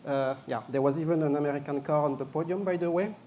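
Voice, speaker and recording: A man's voice: fairly high pitch, quick pace, mellow, a little hiss or hum. A man from France with a French accent, presenting a product at a live launch event with some jokes and hesitant fillers. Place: event venue.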